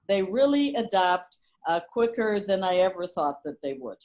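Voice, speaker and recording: A woman school principal, from Portugal, answers interview questions in an earnest, steady way. Her voice sits at an ordinary pitch, and her talk is dotted with frequent ums and uhs. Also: normal speed, muffled recording, a little hiss or hum.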